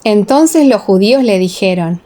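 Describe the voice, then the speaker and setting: Woman narrating scripture in measured tones. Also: mid-range voice, expressive, warm tone. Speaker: audiobook narrator.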